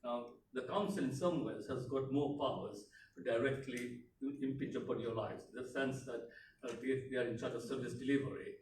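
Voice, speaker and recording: A man's voice: mid-range voice, a little fast. A middle-aged man from Tanzania, an elected politician, giving an unscripted speech at a community event, hesitant with many fillers and restarts. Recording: echoey room, mic far off, background noise.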